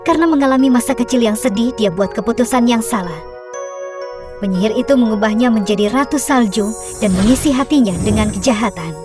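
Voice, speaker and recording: A woman narrating a scripted children's fairy tale in a measured, expressive storytelling manner. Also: fairly high pitch. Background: music.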